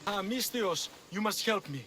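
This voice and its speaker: A man's voice: high voice, animated, normal speed. A man playing a vain old exiled swindler in a video game, pompous, wheedling and insinuating in manner. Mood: pompous, pleading. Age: older. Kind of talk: video game cutscene dialogue. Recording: music behind the voice.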